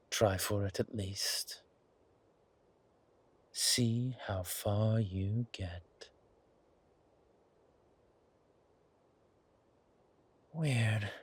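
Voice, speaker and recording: A man playing a suave, menacing, hungry vampire in an ASMR horror roleplay, with a softly taunting, drawn-out delivery. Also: lowish voice, husky, heavily acted. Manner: slow, drawn-out, softly taunting, often whispering.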